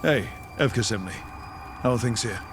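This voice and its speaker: A man's voice: medium pitch, normal speed, noisy background, lightly acted. An actor playing an earnest, can-do young male hero in a video game. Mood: eager. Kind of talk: dramatic video game acting.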